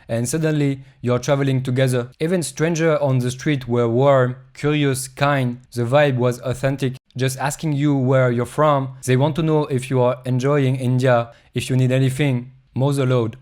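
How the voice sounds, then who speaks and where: Flat-voiced man narrating. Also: mid-range voice, moderate pace, hardly any pauses. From Europe. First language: French. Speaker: travel vlogger. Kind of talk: reflective scripted voice-over narration.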